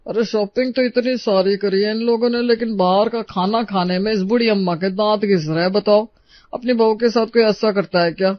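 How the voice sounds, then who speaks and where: A woman voicing a scornful gossiping man in an animated moral story. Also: mid-range voice, moderate pace, lo-fi sound, close to an everyday voice.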